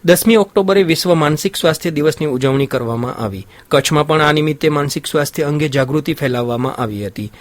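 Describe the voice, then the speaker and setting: A man's voice: a little high, fast talker, few pauses, soft background music. A man presenting radio news, reading a formal scripted bulletin.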